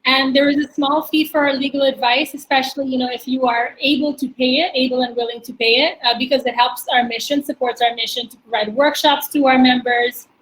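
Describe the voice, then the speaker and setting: A woman in her twenties answers on a video call in a plain, businesslike way. Her voice sits high. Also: fairly even intonation, a little fast, hardly any pauses, fairly bright tone, poor audio. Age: 20s. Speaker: guest.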